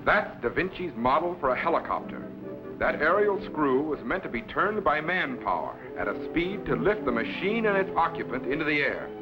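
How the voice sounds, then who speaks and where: An actor playing a commanding, scholarly time-machine captain in a crisp, authoritative 1950s science fiction serial style. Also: male voice, a little high, sing-song, normal speed. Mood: instructive. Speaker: captain and mentor. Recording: dull vintage broadcast with loud music.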